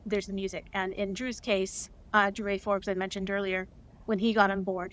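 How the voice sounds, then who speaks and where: A woman speaking as an interview guest, chatting casually and earnestly, often halting to search for words. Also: medium pitch, a bit bright. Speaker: interview guest, audio drama co-creator.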